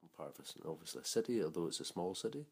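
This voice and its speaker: A man's voice: low-pitched, expressive, very fast, husky. A man giving thoughtful, hesitant interview answers. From Scottish Highlands.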